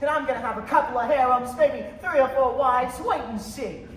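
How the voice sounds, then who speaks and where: A woman's voice: high-pitched, fairly quick, natural voice. A woman playing a cocky, adventurous twelve-year-old boy in a staged radio play. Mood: cocky, boyish. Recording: echoey stage from far off, noisy.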